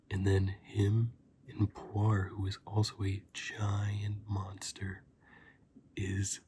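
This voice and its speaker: Soft, slow ASMR man, low-key and half-whispered. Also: noisy background. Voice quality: soft, breathy, often dropping into a whisper. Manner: casual, unhurried, rambling. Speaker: host of an ASMR review channel. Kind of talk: soft-spoken ASMR film review.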